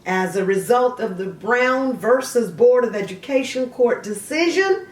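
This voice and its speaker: A woman hosting a literary vlog, speaking theatrically in emphatic, measured short phrases. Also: on the high side, animated. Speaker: host of a literary video show. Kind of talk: dramatic, theatrical vlog monologue, partly reading aloud. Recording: roomy echo, distant mic, faint noise.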